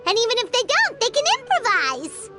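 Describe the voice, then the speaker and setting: A woman voicing a tiny, excitable fairy companion girl in a video game, with a squeaky, childlike, perky delivery. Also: fairly quick, loud music behind the voice, full character voice. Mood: cheerful. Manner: perky, exclamatory.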